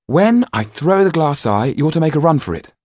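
A man voicing a brave, quick young girl. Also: a little high, sing-song, darkish tone, dry recording, clean studio sound, voice pushed up. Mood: urgent. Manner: firm, urgent instructions.

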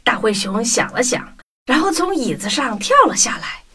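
A woman's voice: on the high side, sing-song, normal speed, sharp tone, breathy edge. A woman narrating a children's story in a scripted storytelling style. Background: music.

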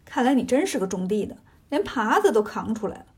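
Storybook monkey hero female narrator, high-pitched with husky edge, swaggering, mocking, casual banter. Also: very animated, fast, darkish tone, heavily acted. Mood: teasing. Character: cocky, teasing male monkey king hero.

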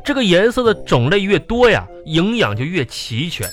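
A man's voice: high-pitched, sing-song, moderate pace, faint music behind. An actor playing a fussy, indulgent father in a radio comedy drama, coaxing and stammering as he repeats his words. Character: fussy, indulgent, flustered father of a little girl.

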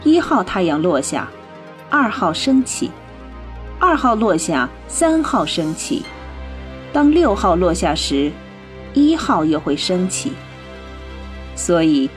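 A woman narrating a scripted radio drama in a clearly phrased storytelling manner. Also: fairly low pitch, sing-song, takes it slowly, pauses often. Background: music.

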